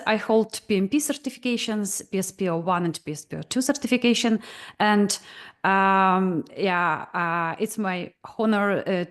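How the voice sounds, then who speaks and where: Hesitant woman presenting. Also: medium pitch. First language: Ukrainian. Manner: hesitant, many "uh" fillers. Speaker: guest presenter. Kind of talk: online presentation. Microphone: video call.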